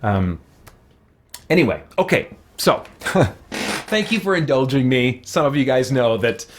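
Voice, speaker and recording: A man giving casual, rambling gaming commentary, thinking aloud in a thoughtful, halting way. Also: medium pitch, expressive, slight background noise. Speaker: host of a roleplay game playthrough.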